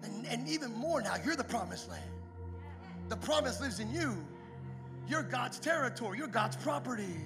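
High-voiced man preaching over music. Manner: builds from quiet storytelling to shouted preaching. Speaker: pastor. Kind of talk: Pentecost sermon. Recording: music playing behind the preaching.

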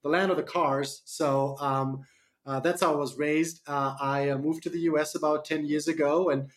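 A man's voice: a little high, fairly even intonation, normal speed. A man from Germany with a slight German accent, a startup CEO answering interview questions on radio, hesitant with many fillers and restarts.

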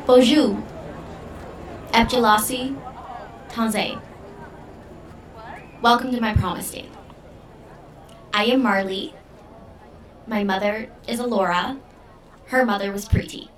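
A girl delivering a rehearsed ceremonial welcome. Her voice is fairly high and sounds away from the mic. The speech is formal and recited, as audio drama. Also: fairly even intonation, slow, pauses often, distant mic, a little hiss or hum.

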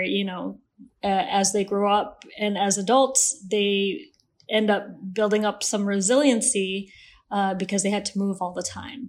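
A woman from Malaysia with an American accent, talking as a podcast guest in a relaxed, talkative way with many ums and laughs. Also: medium pitch. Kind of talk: casual interview.

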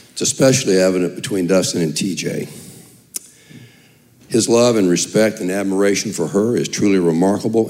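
A man with a raspy voice, speaking earnestly and from the heart as elder chairman addressing a church congregation. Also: low-pitched, mellow. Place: church sanctuary.

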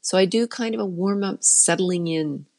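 A middle-aged woman with a soft voice, a children's musician chatting casually in an interview and often trailing off and restarting. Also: lowish voice, moderate pace.

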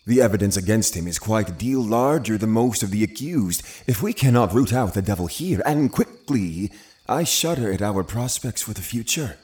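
An actor playing a stern, pious older Puritan uncle in a period audio drama, grave and formal. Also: male voice, on the low side, lively intonation, moderate pace, a little mellow, close to an everyday voice. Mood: grave, resolute.

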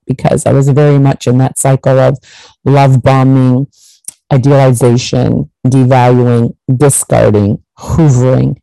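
A woman's voice: rumbling low, dark tone, no room sound, poor audio. A middle-aged woman, born in Portugal, giving blunt, emphatic personal advice to her viewers in a candid monologue, swearing now and then for emphasis. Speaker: vlogger.

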